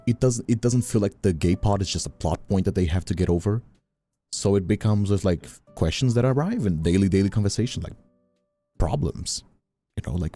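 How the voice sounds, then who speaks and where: A man chatting casually on a livestream, emphatic and animated, often repeating himself as he makes a point. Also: fairly low pitch. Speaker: streamer. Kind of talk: casual conversational chat on a livestream.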